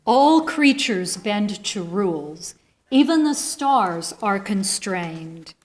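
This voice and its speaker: A woman leading a church service, reading aloud with deliberate, clearly separated phrases. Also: mid-range voice, big pitch swings. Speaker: worship leader.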